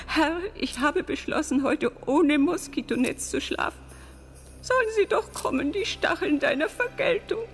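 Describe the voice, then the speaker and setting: An actress playing a pious, guilt-ridden old grandmother in a radio drama, praying in a fervent, melodramatic lament. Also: sits high. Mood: frantic, lamenting.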